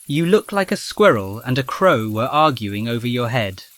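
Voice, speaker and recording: A man acting a gently teasing, bookish young roommate in an audio drama, with measured, slightly formal phrasing. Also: medium pitch, big pitch swings, no room sound.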